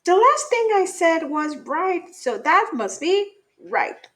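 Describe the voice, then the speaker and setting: A woman's voice: very high-pitched, big pitch swings, takes it slowly, a bit bright, voice pushed up, full character voice. A woman voicing an eager, naive, absent-minded young boy bunny in a children's storybook. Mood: pondering.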